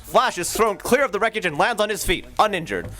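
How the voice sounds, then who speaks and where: A man's voice: high-pitched, lively intonation, a little fast, sharp tone. A man narrating a live old-time radio play with dramatic, sweeping storytelling.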